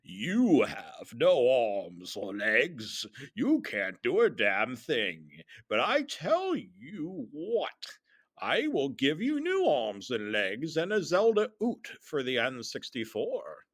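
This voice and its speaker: Man as an old dark lord, slow, sinister, mocking, theatrical villain in a comic tale. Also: medium pitch, huge pitch swings, no echo, studio quality, exaggerated voice. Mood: gloating.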